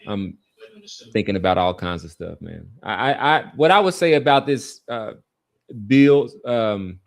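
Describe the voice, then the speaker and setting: A man in a casual podcast chat, talking in a reflective, halting and rambling way. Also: lowish voice, lively intonation, creaky. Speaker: sports podcast host. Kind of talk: casual sports and society chat.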